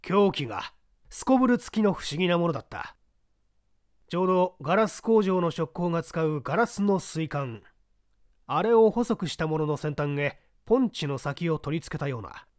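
A man voicing a composed middle-aged gentleman who sets a young applicant a test, speaking in a measured, lecturing way. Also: fairly high pitch, animated, dry recording, studio quality, close to an everyday voice. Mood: probing. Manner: measured, lecturing, poses pointed questions. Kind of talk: audiobook reading of a detective story.

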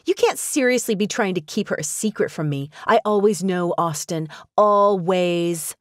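A woman voicing Victoria, a bitter ex-wife who speaks in a sharp, accusing way. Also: on the low side, normal speed, dry recording, clean studio sound, pitched above the performer's own voice, natural voice. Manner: accusing, cutting.

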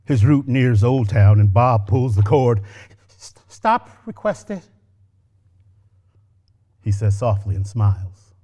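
Middle-aged male actor, on-stage story reading at a lectern, measured and expressive. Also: fairly low pitch, breathy edge. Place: stage with orange curtains. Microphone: lectern microphone.

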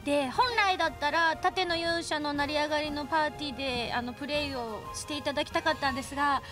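A woman hosting a lively radio chat, playful and teasing as she presents a game segment. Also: high-pitched, fast. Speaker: radio show host. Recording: background music under the voice.

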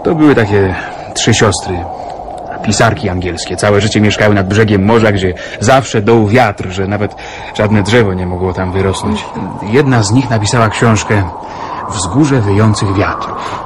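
An actor playing an educated, dryly ironic man with a wry, measured manner in a radio drama. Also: lowish voice, lightly acted. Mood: reflective. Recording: noisy radio play recording.

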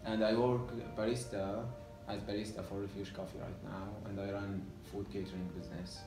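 A man's voice: lowish voice, level tone, fairly quick, music in the background. A Syrian man in his twenties with an Arabic accent, speaking hesitant English with many fillers in a documentary interview, recorded in a library room. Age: 20s. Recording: roomy, distant sound with faint hiss.

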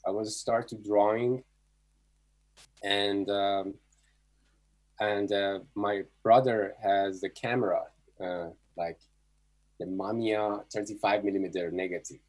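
A middle-aged man with an Iranian accent, a native Persian speaker, tells his story casually in halting English on a video call. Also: on the low side, steady tone. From Iran. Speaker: guest photographer.

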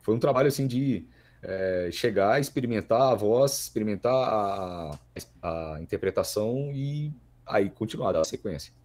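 A man in his thirties with a Brazilian accent, explaining things in a halting, casual podcast chat as a guest. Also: on the low side, animated, darkish tone. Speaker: guest, voice actor.

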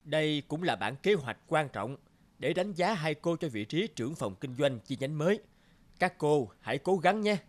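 A man voicing a stout, stern middle-aged company boss in an animated cartoon, speaking in a measured, lecturing way. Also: fairly high pitch. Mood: firm. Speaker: voice actor. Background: background music.

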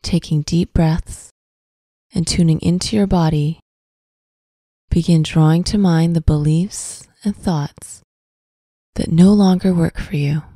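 A woman narrating a scripted guided visualization in a soft, breathy, soothing voice, with deliberate phrasing and long pauses. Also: sits low, steady tone, dry recording, studio quality. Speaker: guided meditation host.

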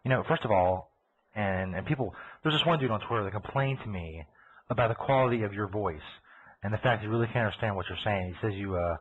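Kentucky man, low husky voice, rambling profane radio host, tinny phone-like sound. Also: fast, a little hiss or hum. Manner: profane, laughing, talks over himself. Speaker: host of a live internet radio show. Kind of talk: rambling casual radio chat. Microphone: computer mic over a call line. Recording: tinny phone-like internet broadcast.

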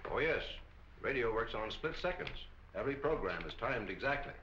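Affable older executive, lively intonation. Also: male voice, mid-range voice, brisk. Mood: explanatory. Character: affable, businesslike older male radio executive. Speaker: radio station manager. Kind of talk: 1940s film acting. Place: furnished office film set. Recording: muffled, echoey, hissy old film soundtrack.

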